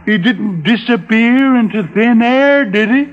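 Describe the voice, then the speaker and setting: Skeptical cop, blunt n challenging, high-pitched voice, old radio drama, muffled sound. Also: male voice, measured pace. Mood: skeptical, impatient. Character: skeptical, blunt male police detective. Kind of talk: 1950s radio drama acting. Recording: dull vintage radio recording.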